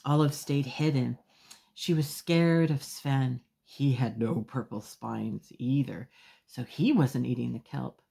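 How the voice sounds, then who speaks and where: A woman's voice: very deep voice, slow pace, vocal fry, mellow, husky. A woman storyteller reading a children's picture book aloud expressively, with emphatic phrasing and small asides to young listeners.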